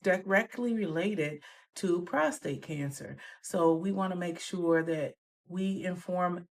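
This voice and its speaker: A woman nurse speaks in an earnest, instructive way, explaining risks and advice. Her voice sits low for a woman. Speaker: nurse care manager. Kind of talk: informative documentary interview.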